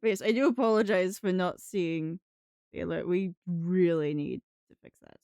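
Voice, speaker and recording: A woman's voice: on the low side, normal speed, warm tone, dry recording, clean studio sound. A woman from New England chatting casually on a livestream in a put-on British accent, rambling in a chatty, giggly way. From New England, United States. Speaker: live streamer. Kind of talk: casual livestream chat with viewers.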